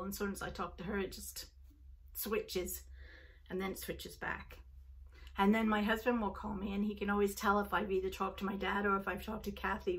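A woman's voice: medium pitch, vocal fry, fairly bright tone, far from the mic. A middle-aged woman from Liverpool, England, speaking with a Canadian accent, hosting a knitting podcast in a casual, digressive way and laughing easily. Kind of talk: casual chat to camera. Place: home craft room, indoors.

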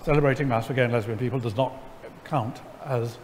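A man's voice: medium pitch, fairly quick, a little hiss or hum. Middle-aged guy telling stories on stage, lots of ums, echoey big church. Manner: animated, gesturing, wry, many ums and restarts. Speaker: lecture guest. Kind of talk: storytelling in an on-stage conversation. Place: large church sanctuary before an audience. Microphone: headset mic. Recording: hall reverb, faint ambience.

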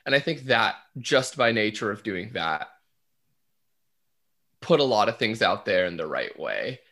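A man's voice: on the low side, very animated, unhurried, bright, forceful tone. A young man, an actor, talking casually and enthusiastically in a panel discussion with colloquial phrasing. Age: young adult.

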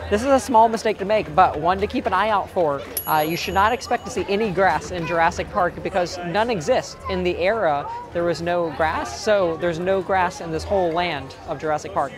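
Hoarse young man, quick theme park vlog. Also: high voice, over music. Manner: chatty, frequent ums and uhs. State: hoarse, voice cracking. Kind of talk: casual tips vlog to camera. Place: outdoors in a busy theme park. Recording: outdoor crowd and ride noise behind.

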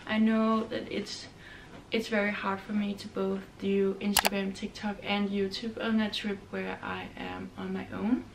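A young woman vlogging to camera from a hotel room, chatting in a slow, relaxed way. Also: medium pitch, gravelly, very noisy. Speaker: travel vlogger. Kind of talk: casual vlog to camera. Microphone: camera held close or on a stand.

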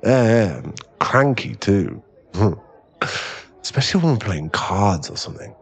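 A man playing a drunk, clingy, lovesick boyfriend in an intimate ASMR roleplay, with halting, pleading speech and a husky, gravelly voice. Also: lowish voice, expressive, full character voice. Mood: tipsy, playful. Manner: tipsy, halting, drawn-out, pleading delivery.

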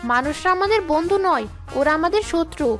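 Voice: extremely high pitch, lively intonation, brisk, fairly bright tone, faint music behind. A performer voicing a stern, proud female ghost schoolteacher who lectures and scolds in a children's cartoon. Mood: proud, solemn.

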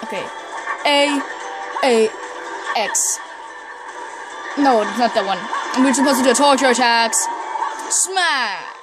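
A young woman giving casual, rambling commentary while playing a video game, with many pauses and fillers. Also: fairly high pitch, low-quality recording. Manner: chatty, rambling with many ums and laughs. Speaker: gamer playing through a game. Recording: talking over loud game music.

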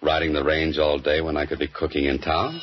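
A man's voice: rumbling low, level tone, fairly quick, background noise. An actor playing a cool, dry-witted male mystery writer who sleuths, in 1940s radio drama style. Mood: wry. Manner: dry, wisecracking. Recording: muffled 1940s radio broadcast.

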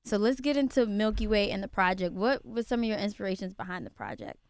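A woman's voice: mid-range voice, expressive, fast, no echo. Chatty woman radio host. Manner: upbeat, chatty. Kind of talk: casual interview. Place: radio studio. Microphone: studio mic.

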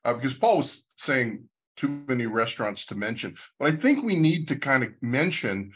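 An older man hosts an interview on a video call, working toward his question with plenty of pauses. His husky voice swings up and down in pitch. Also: big pitch swings, a bit bright. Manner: hesitant, many fillers. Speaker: host.

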